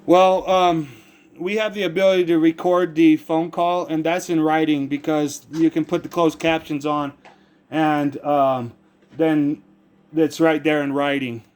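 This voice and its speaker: An American man speaking as a caller with a complaint, earnest and rambling, halting as he searches for formal words and often repeats himself. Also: on the high side, steady tone, a little mellow, clear, resonant tone. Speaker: caller making a complaint to a public agency.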